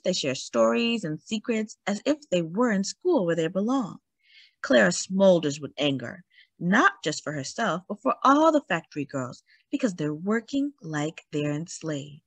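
Expressive low-voiced woman reading a story. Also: breathy edge, no echo. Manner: dramatic, emphatic storytelling with deliberate pauses. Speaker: children's read-aloud story host. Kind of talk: expressive picture book narration.

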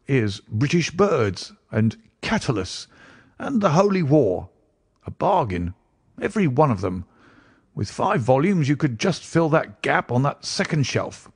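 A wizened old book collector played by a male reader speaks in a croaking, raised voice that swings up and down. He is deferential and wheedling, rambling politely like a storybook character. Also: mid-range voice, big pitch swings, unhurried, dry recording, clean studio sound, voice pushed up, full character voice. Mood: ingratiating. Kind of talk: audiobook character voice.

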